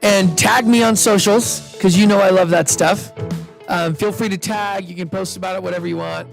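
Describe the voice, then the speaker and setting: A man's voice: sits high, fairly even intonation, moderate pace, creaky, soft background music. Upbeat man hosting a live event. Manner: chatty, upbeat, loose with restarts. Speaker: event host and investor. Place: indoor event room with seated audience. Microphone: handheld microphone.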